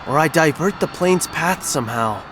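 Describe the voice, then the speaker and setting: A man's voice: on the high side, animated, normal speed, noisy background, pitched above the performer's own voice, close to an everyday voice. A man voicing a determined young male superhero in armor, speaking in an urgent, heroic way. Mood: muttering.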